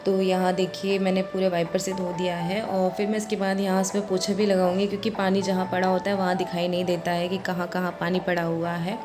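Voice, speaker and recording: A woman with a hoarse voice, chatting through her home chores as a vlog voice-over and explaining each step. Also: lowish voice, little pitch movement, brisk, few pauses, over music. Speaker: vlogger.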